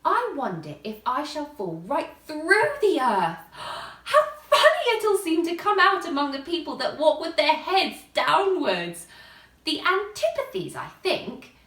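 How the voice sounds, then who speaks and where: A woman's voice: high voice, huge pitch swings, unhurried, fairly bright tone, roomy sound, recorded from a distance, pitched above the performer's own voice, exaggerated voice. A woman voicing a curious, chatty little girl who muses aloud to herself in an earnest, dreamy way in a storybook reading. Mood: wondering, chatty. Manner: musing aloud to herself, wondering.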